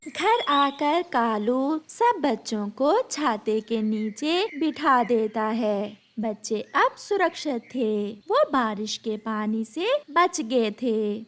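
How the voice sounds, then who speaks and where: A woman narrates a children's cartoon story in a high voice. She takes her time, reading at a slow, scripted pace, with light noise in the recording. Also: fairly bright tone.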